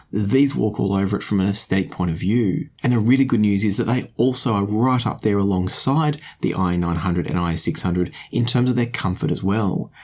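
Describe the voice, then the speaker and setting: A man with a fairly low voice, talking through a product review for an audience. He speaks in a measured, conversational way and often thinks aloud, and he keeps asking viewers to share their opinions. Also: a little mellow. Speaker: audio gear reviewer.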